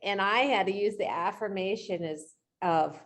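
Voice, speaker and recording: A woman's voice: mid-range voice, normal speed. A middle-aged woman coaching in an informal, conversational way, encouraging and often checking in with short rhetorical questions. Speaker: real estate coach leading a coaching session.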